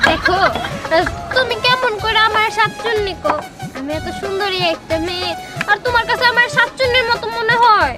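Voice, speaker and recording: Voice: very high voice, fairly quick, a crowd in the background. An actor voicing a sulky, quarrelsome young woman, protesting and snapping in a Bangladeshi accent in a comedy cartoon. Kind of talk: colloquial Bengali comedy cartoon dialogue. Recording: loud music behind the voice.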